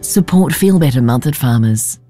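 Female ad voice-over, very deep voice, calm and earnest, guitar music behind. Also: moderate pace. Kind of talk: scripted advert reading.

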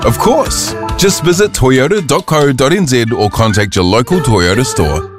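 A man reading a car servicing advert in a steady, reassuring way. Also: mid-range voice, moderate pace, over music. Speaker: ad voice-over. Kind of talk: reassuring ad read.

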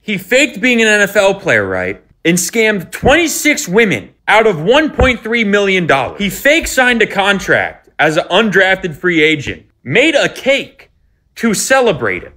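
A man's voice: high-pitched, huge pitch swings, unhurried, sharp tone. A man narrating a played online video in a hyped, punchy way.

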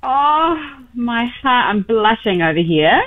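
A woman's voice: fairly high pitch, big pitch swings, slow pace, narrow, tinny audio. A woman from New Zealand, an actress guest chatting casually and self-mockingly on a radio show, heard over a remote line. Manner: bubbly, self-mocking, laughs easily. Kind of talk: casual radio interview chat. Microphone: remote line from a film shoot location.

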